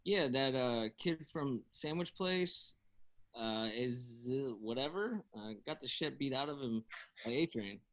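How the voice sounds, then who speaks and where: A man playing a shy, awkward high school boy who speaks quietly and haltingly at a script read. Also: lively intonation. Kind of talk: table read of a film script.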